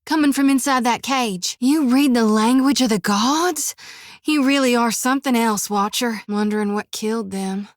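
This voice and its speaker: A woman's voice: on the high side, moderate pace, dry recording, studio quality, natural voice. An actress voicing a folksy young woman priest who carries a soul lantern in a video game, talking in a plainspoken drawl. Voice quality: folksy drawl, full of "reckon" and "ain't". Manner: plainspoken, earnest, sometimes wry. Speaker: game companion character. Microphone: close studio mic.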